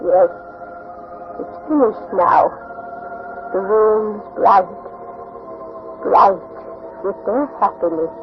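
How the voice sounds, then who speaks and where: A woman's voice: medium pitch, animated, very slow, pauses often, music in the background. Remorseful old grandmother, muffled vintage radio. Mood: relieved. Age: older. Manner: earnest, emotional, pleading. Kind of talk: 1940s radio drama acting. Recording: muffled, noisy 1940s radio recording.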